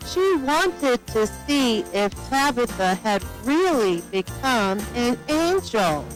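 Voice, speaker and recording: Slow, lilting woman storybook narrator. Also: fairly high pitch, big pitch swings, faint music behind, low-quality recording. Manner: simple, deliberate storytelling. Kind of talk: scripted children's storybook narration.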